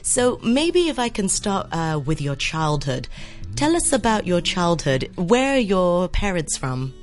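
A woman's voice: fairly low pitch, big pitch swings, unhurried. Woman radio host interviewing, faint music. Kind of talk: radio interview with scripted introduction.

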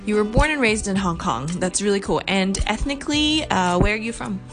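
A woman's voice: medium pitch, lively intonation, brisk. A woman hosting a podcast who reads a scripted intro and asks her guest questions in a friendly, upbeat way. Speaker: podcast host. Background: music.

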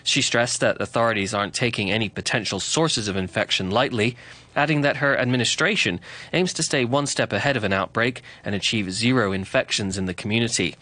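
A man filing a scripted radio news report in crisp, steady tones. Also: fairly low pitch, fairly quick, no room sound.

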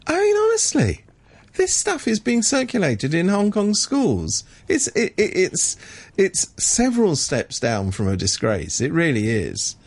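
A man with a gravelly, creaky voice talks in a radio studio as a regular commentator. He sounds indignant and sardonic, and he often trips over the first words of a sentence before pushing on. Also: sits high, huge pitch swings, unhurried. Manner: indignant, sardonic, many restarts.

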